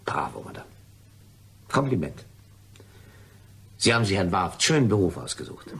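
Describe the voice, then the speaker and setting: Guy acting a stuffy sarcastic writer, really low husky voice, crime radio play. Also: sing-song, normal speed, a bit bright, heavily acted. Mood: indignant, sarcastic. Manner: pompous, curt, sarcastic. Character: pompous male writer of saints' lives, irritable, sardonic.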